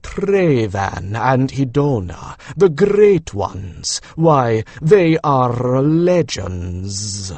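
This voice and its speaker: A man performs a young male griffin for a fantasy audiobook. He is earnest and a little sheepish, with stately formal phrasing. Each phrase comes out very slowly and haltingly, in a dry, close recording. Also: medium pitch, big pitch swings, gravelly, a little mellow, no room sound, exaggerated voice. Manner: slow, halting, formal speech. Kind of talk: audiobook character voice.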